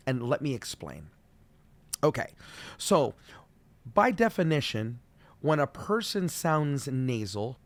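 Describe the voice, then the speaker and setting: A middle-aged man teaching as a vocal coach, friendly and explaining slowly with demonstrations. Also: medium pitch, dry recording. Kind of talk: solo video voice lesson.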